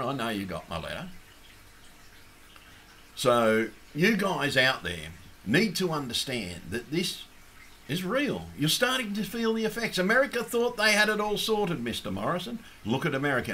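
Hoarse, croaky man ranting. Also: medium pitch, a little hiss or hum. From Australia. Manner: swears heavily, rises to shouting. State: hoarse, losing his voice. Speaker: activist vlogger. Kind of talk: angry political rant.

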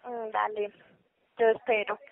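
Una mujer teleoperadora que hace una venta por teléfono con un discurso paciente y algo titubeante. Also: female voice, a little high, flat delivery, unhurried, vocal fry, slight background noise. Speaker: telemarketer selling electricity offers. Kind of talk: sales phone call. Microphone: telephone. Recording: muffled, tinny phone line.

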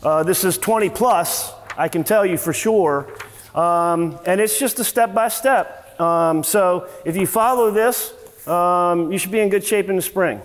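Middle-aged man, service manager, high-ish voice, loose and chatty with lots of "um"s, echoey garage, far-off camera. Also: measured pace. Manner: casual, rambling, many fillers and restarts. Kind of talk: informal seminar talk. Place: large workshop garage indoors. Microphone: far camera, no mic on him.

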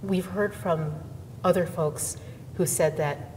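A young woman TV reporter asking interview questions in a smooth, encouraging way. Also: fairly even intonation, takes it slowly, a little mellow. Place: indoor exhibit hall. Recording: hall reverb, faint rumble.

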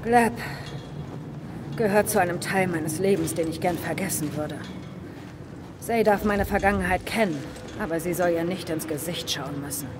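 An actress playing a serious, determined middle-aged soldier in a dramatic video game, speaking tersely. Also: female voice, on the low side, normal speed, background noise, close to an everyday voice. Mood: grave, sombre. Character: grave, determined veteran female soldier with regrets. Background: music.

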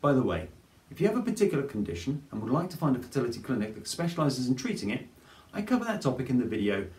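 A man, presenting a scripted explainer to camera in a clear, measured way. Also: medium pitch, very animated, a little mellow. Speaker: fertility advice presenter. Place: plain studio with grey backdrop. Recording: roomy studio echo.

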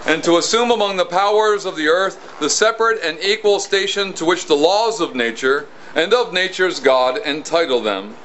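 A middle-aged American man playing a solemn colonial townsman, reading formally in a projected, measured, declamatory way. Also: sits high, fairly bright tone. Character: solemn colonial townsman proclaiming a public document. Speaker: costumed historical reenactor. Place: outdoors on a stone house porch. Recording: muffled outdoor recording with clear ambient noise.

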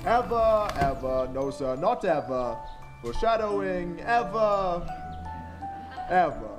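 A grandiose storybook narrator played by a man, pitched fairly high. He savours each alliterative phrase theatrically, with music clearly playing underneath in a theatre recording. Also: sing-song, slow, heavily acted. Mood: ominous.

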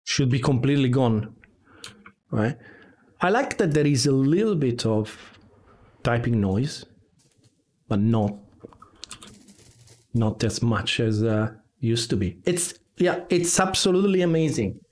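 A man's voice: medium pitch, animated, a little slow, pauses often, a little mellow. Man chatting on a live stream. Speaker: live streamer, game developer. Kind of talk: casual live stream chat. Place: home room with guitars on the wall. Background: computer keyboard typing at times.

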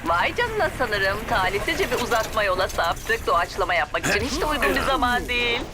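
A woman's voice: a little high, sing-song, fairly quick. A woman playing a train tour announcer in a dubbed cartoon, giving theatrical, scripted guide patter. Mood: mock-dramatic patter. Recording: very loud music under the voice.

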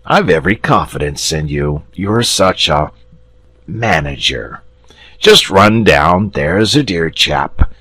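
A man reading a story, voicing a gentle, cowardly male dragon with a slow, polite drawl. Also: low-pitched, no echo, full character voice.

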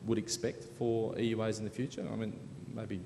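Male moderator, slightly low pitch, informal questioning, faint noise behind. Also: flat delivery, moderate pace, creaky, warm tone. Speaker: panel moderator. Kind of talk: casual questioning at a panel. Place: large hall panel event with audience. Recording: echoey hall, faint ambient noise.

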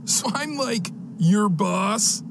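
A man's voice: high-pitched, expressive, very slow, creaky, noisy background, exaggerated voice. A man voicing a vain, boastful teenage alien slacker in a cartoon game, cocky and melodramatic. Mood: smug.

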